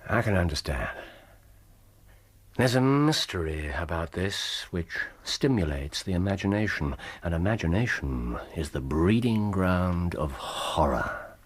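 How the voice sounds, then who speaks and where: An actor playing a brilliant, self-assured Victorian detective in a British radio drama, with an English accent and a theatrical, erudite, precise delivery. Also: male voice, low-pitched, lively intonation, unhurried, slightly rough, exaggerated voice. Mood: brisk, assured.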